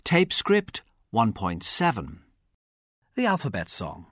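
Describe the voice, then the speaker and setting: A man announces track numbers for a language course in a formal, measured voice. He speaks very slowly, with his pitch moving widely. The studio is dry. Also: wildly swooping pitch, a bit bright, no echo. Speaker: course narrator.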